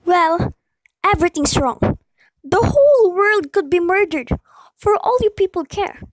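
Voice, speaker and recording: Casting: actress as imperious, nervy invalid wife for radio drama; very high voice, big dramatic swings. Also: female voice, lively intonation, a little slow, no room sound, rough recording. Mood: exasperated, indignant. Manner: querulous, imperious, melodramatic. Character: nervous, imperious bedridden invalid wife, demanding and panicky. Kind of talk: radio play acting.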